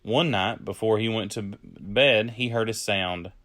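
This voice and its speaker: A man reading a children's story aloud as a storyteller, with deliberate phrasing. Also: lowish voice, fairly bright tone. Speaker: storyteller, early childhood educator.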